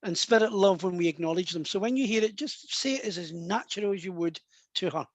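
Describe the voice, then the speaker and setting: A man's voice: sits high, fairly quick, no room sound. A man working as a psychic medium in a live online session, talking in a chatty, rambling way full of restarts.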